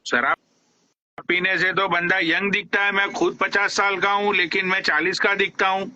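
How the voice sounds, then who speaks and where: A high, very bright and tense male voice, ribbing his guest with crude jokes and put-on respect. He swears freely. The sound is dull and lo-fi, like a live stream. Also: little pitch movement, moderate pace.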